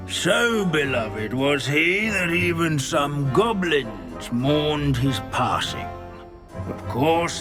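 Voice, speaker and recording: Slow, swooping old professor. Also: male voice, a little high. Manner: pedantic, drily musing lecturer. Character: droning, wistful old ghost history professor. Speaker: history professor character. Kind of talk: video game character dialogue.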